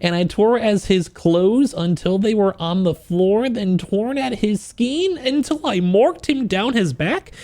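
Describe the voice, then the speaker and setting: Higher-voiced man, casual streamer. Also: expressive, unhurried, few pauses, darkish tone. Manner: informal, joking, self-deprecating, profane asides. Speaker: streamer reading a novel aloud and reacting to it for chat. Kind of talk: casual livestream book reaction, mixing reading aloud with commentary.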